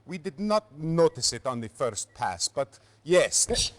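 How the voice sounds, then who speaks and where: Animated, officious Soviet aide. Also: male voice, on the high side, slow, a little hiss or hum. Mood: admitting fault. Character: officious Soviet military aide, dutiful and accusing. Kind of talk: dramatic video game cutscene acting.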